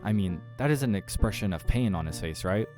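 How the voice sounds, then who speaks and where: A man's voice: lowish voice, lively intonation, brisk, a little mellow, faint music behind. A man reading a visual novel aloud as a gamer, dramatic narration with casual asides.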